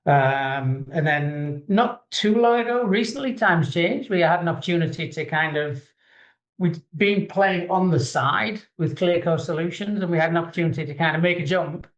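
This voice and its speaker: Brief: middle-aged man from the UK, slightly high voice, muffled echoey sound. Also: normal speed. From United Kingdom. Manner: relaxed, hedging with 'kind of'. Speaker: guest. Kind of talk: casual interview.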